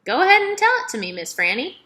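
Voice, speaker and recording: A woman's voice: high-pitched, big pitch swings, fast talker, sharp tone, voice pushed up, full character voice. A woman voicing a curious, earnest young girl from a storybook, eager and polite.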